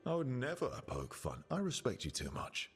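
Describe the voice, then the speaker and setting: An actor voicing a steady young male hero in a video game, gentle and casual with a dryly playful streak. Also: mid-range voice, normal speed, soft background music. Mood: teasing. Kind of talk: video game dialogue acting.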